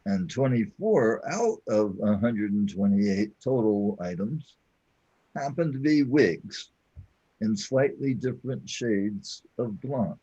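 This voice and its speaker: A man acting a weary, matter-of-fact companion in a sci-fi audio drama, speaking in a deliberate, explanatory way. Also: fairly low pitch, expressive, dark tone, husky, lo-fi sound, full character voice. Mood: patient, explaining.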